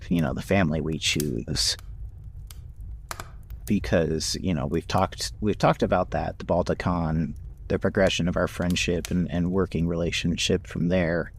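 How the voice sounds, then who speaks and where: Man, very low and gravelly, heartfelt reflective podcast chat, some background noise. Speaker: podcast voice actor.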